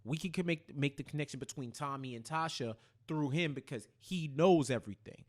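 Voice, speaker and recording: Halting man with a higher-pitched voice. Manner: halting, repeats phrases as he thinks aloud. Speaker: podcast co-host. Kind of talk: casual TV recap chat.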